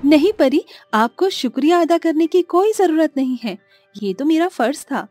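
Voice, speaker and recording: A woman voicing a sweet, naive and eager young college girl in an animated moral story, earnest and exclaiming. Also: high voice, normal speed, faint music behind. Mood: polite, warm.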